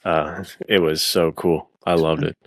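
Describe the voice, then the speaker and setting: A man's voice: extremely low pitch, little pitch movement, measured pace. A man, an author on a podcast, chatting casually in an enthusiastic, slangy, self-deprecating way. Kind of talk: casual chat.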